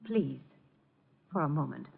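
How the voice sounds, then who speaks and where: A woman's voice: low voice, animated, a little slow, creaky, breathy edge, exaggerated voice. A woman playing a guarded, haughty suspect in a 1950s radio drama, clipped, controlled and challenging. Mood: haughty, daring. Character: cool, haughty, guarded woman under suspicion. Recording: muffled vintage 1950 radio recording.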